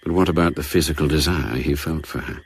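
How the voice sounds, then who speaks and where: An actor narrating a spy story in a radio drama, in a measured, reflective manner. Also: male voice, a bass voice, vocal fry, slightly rough, heavily acted. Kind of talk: scripted narration, audio drama.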